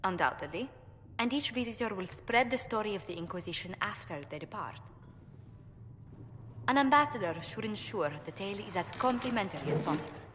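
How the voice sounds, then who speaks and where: A woman playing a poised, shrewd ambassador in a video game cutscene, speaking in a formal, tactful, measured way. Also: mid-range voice, expressive, fairly bright tone, big-room sound, a little hiss or hum, close to an everyday voice. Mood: composed.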